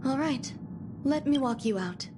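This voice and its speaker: An actress voicing a calm, courteous woman scientist in a video game, speaking in a measured, formal, understated way. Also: sits high, background noise. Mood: polite, composed. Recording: music behind the voice. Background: game music.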